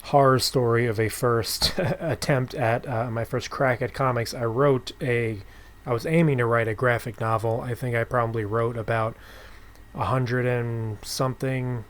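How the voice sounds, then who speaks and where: Guy hosting a podcast, average pitch, just chatting casually with a guest. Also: steady tone, normal speed. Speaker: podcast host. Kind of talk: casual interview chat.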